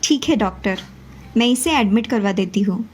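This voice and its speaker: A woman's voice: mid-range voice, expressive, normal speed, a little mellow, breathy edge. A woman voicing a worried young mother in a children's story, anxious and pleading. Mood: subdued.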